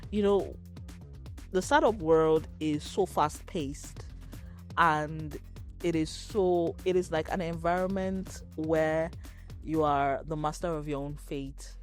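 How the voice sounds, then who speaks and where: Slow, thoughtful woman. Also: low voice, steady tone, soft background music. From Nigeria. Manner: measured, thoughtful, pauses with fillers. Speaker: startup co-founder, guest. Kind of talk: reflective interview answers.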